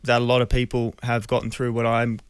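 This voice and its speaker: An Australian man in his thirties telling a personal story in an interview, earnest, hesitant and measured, close on a studio mic. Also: medium pitch, completely flat delivery, smooth, no room sound. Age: 30s. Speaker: radio interview guest. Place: small radio studio. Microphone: close studio microphone.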